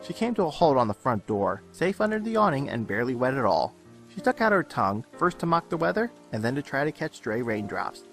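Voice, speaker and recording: A man narrates fiction from a script with lively, wide-ranging intonation. His storytelling is expressive and dramatic. Faint music sits behind his voice. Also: mid-range voice, brisk, gravelly. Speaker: audiobook-style reader of fan fiction. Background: background music.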